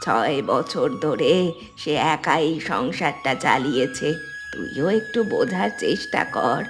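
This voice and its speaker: A woman's voice: a bass voice, expressive, a little slow, over music, full character voice. A woman playing an older mother-in-law in a cartoon family drama, soothing and advising in a fair-minded way. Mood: soothing, concerned.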